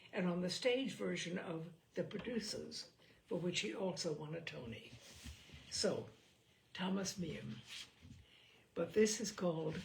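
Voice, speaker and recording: A woman hosting a reading series, speaking in an expressive, deliberate, theatrical storytelling style. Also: on the low side, gravelly, a little hiss or hum, rough recording. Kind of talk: expressive literary reading with chatty introduction.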